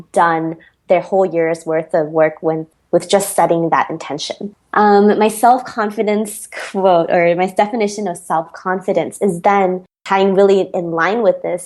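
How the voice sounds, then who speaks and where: A woman with an American accent answers interview questions as a performance coach. She is reflective and measured and often pauses on an um, and her voice sits slightly low. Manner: reflective, measured, frequent ums.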